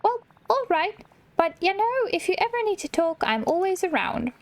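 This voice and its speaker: Video game mouse pal, played by a woman: very high, lively, folksy, cheerful and caring. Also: normal speed, dry recording, exaggerated voice. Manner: gentle, folksy, eager. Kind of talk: reading video game dialogue in character.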